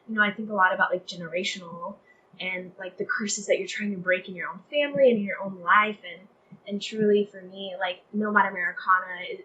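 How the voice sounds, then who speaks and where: A young woman gives an interview in an earnest, casual way, with plenty of conversational filler words. Her pitch is average for a woman. The recording carries noticeable background noise and ambience. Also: fairly bright tone, low-quality recording. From Texas, United States. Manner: earnest, with frequent "like" and "you know". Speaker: interview guest, playwright.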